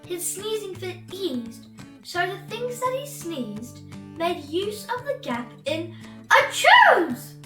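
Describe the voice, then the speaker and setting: A woman reading a children's storybook aloud as host, in a theatrical, exclamatory way with drawn-out emphasis. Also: extremely high pitch, very animated, fairly bright tone, distant mic. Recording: roomy echo, off-mic, music bed.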